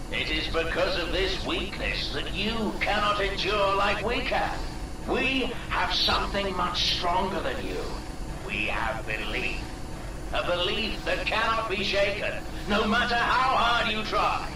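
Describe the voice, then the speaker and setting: An actor playing a defiant, menacing captive zealot in a video game, preaching with deliberate contempt. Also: male voice, high-pitched, expressive, heavily acted. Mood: contemptuous conviction. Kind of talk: dramatic video game acting. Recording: distant, echoing, noisy ambience.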